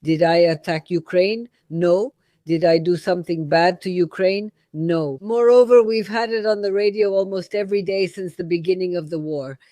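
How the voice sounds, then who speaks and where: A man's voice: sits high, normal speed, warm tone, no room sound, studio quality. A middle-aged man, a native Russian speaker, hosting a radio talk show and telling a story by acting out both sides of a conversation. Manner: retells scenes acting out both sides of the dialogue. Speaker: radio host.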